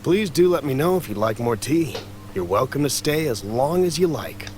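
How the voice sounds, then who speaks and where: A man voicing a gentle kindly old uncle. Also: mid-range voice, very animated, normal speed, slight background noise, lightly acted. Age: older. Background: game music.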